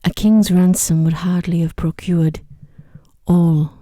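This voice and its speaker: A humble merchant father from a bedtime fairy tale, voiced by a woman, pleading and fearful. The voice is low for a woman, soft and breathy, recorded very dry and close. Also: steady tone, takes it slowly, no room sound. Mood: sorrowful, humble. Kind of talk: bedtime storybook reading.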